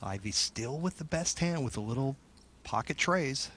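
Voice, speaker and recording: A man's voice: medium pitch, animated, moderate pace, fairly bright tone. A man giving analysis during a televised poker broadcast, explaining each player's hand and odds.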